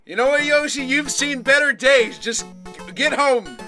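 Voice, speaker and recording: A man plays a very high-pitched, bright cartoon plumber hero. He is plucky and earnest, in a video game parody, with music underneath. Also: measured pace, full character voice. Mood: pleading, resolute.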